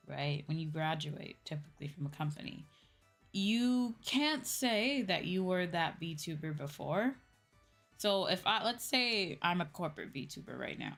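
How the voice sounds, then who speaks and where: A woman's voice: low-pitched, fairly bright tone. A woman streamer chatting casually with her viewers, explaining in an informal, repetitive way and often checking in with "right?". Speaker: streamer, VTuber host. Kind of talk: casual livestream chat answering viewers. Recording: faint background music. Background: faint music.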